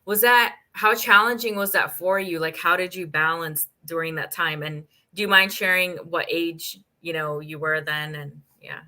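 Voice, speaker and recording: A woman's voice: on the low side, moderate pace, few pauses, bright, forceful tone. A woman hosting an interview, enthusiastic and full of exclamations. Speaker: interview host. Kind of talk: conversational interview.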